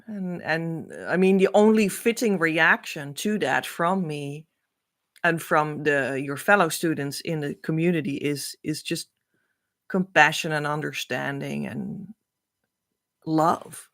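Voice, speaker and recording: Slow-speaking woman teacher. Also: low-pitched, fairly bright tone. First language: Dutch. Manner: earnest, reassuring, unhurried. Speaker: spiritual teacher. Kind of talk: spiritual teaching talk.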